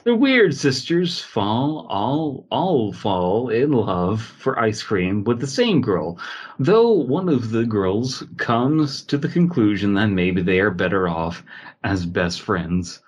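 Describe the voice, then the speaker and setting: A man's voice: fairly low pitch, expressive, slow, slightly rough, muffled recording. A man in casual comedic podcast chat, playfully riffing on ideas and swearing freely. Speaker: podcast panelist.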